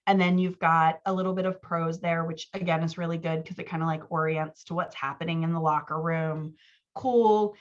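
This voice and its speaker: A woman with a fairly low voice talks through edits as a writing coach. She is informal and explanatory, slipping into quoted example lines as she goes. The audio is a little dull and lo-fi. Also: fairly even intonation, normal speed.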